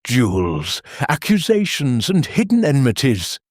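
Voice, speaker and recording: Male narrator as elderly lady detective, mild, musing, inquisitive, husky, lilting pitch, audiobook mystery. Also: huge pitch swings, dry recording, studio quality. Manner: musing, deliberate, gently inquisitive. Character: shrewd, mild elderly lady sleuth, quietly probing. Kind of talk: audiobook character dialogue.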